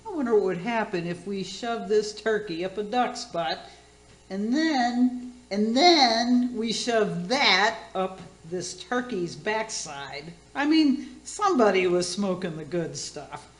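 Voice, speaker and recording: A woman's voice: mid-range voice, lively intonation, slow. A woman playing a tipsy, bawdy middle-aged auntie who hosts a radio cooking show in a comedy play, rambling and gushing with slurred word slips. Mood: rambling, exasperated. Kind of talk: community theater radio comedy acting. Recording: roomy, echoing space.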